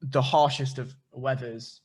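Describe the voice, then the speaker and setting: A man hosting a casual interview podcast, animated and swearing freely as he tells stories and puts theories to his guest. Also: medium pitch, a little slow, slightly rough. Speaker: podcast host.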